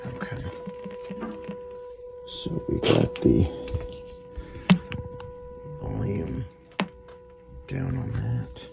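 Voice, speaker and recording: A man's voice: fairly low pitch, huge pitch swings, extremely slow, creaky, long pauses, loud music behind the voice. A man giving a casual repair demonstration, muttering a running commentary to himself as he works. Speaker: radio repairer.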